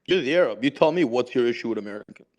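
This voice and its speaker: A man's voice: medium pitch, animated, racing, a little mellow, rough recording. A man arguing defensively in a heated live online debate, pressing his points by repeating himself. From Israel. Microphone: online voice chat mic.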